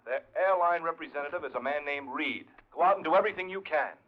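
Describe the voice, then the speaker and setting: An actor playing an upset, urgent male insurance official, agitated and halting, in a 1950s radio drama. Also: on the high side, lightly acted. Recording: muffled old radio recording, faint noise.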